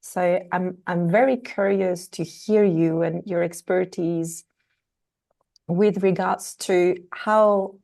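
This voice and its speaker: A woman host with a mellow, warm tone and a rather flat, even pitch leads the conversation. She affirms her guest readily and often pauses to find her words. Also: creaky. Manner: enthusiastic, affirming, searching for words. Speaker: podcast host, fertility coach. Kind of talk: conversational interview.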